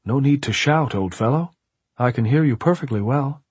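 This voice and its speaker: A man's voice: medium pitch, normal speed, breathy edge, no room sound, voice pushed up, close to an everyday voice. A man voicing a mild, well-bred ghostly servant in an audiobook, formal, coldly polite and insinuating. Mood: mild, courteous. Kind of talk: audiobook character voice.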